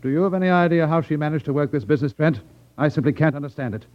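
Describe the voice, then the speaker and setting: An actor playing a suave, cold-blooded crime boss with genteel, condescending politeness in a 1950s radio drama. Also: male voice, fairly high pitch, expressive, very fast, natural voice. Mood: smooth, mocking. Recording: muffled vintage 1950s radio recording.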